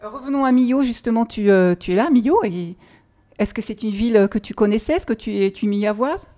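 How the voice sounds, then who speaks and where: A woman's voice: a little high, a little fast, dark tone. A woman interviewing a guest in a small café, asking friendly questions and searching for her words. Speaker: interviewer, radio host.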